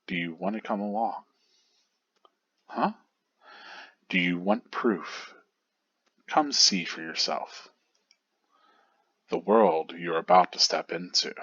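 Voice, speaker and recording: A calm, cryptic older mentor with a low, hushed voice, measured and unhurried, as a man reads him aloud. The sound is dry and a little dull and lo-fi. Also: slightly rough, no room sound, exaggerated voice. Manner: slow, measured, quiet.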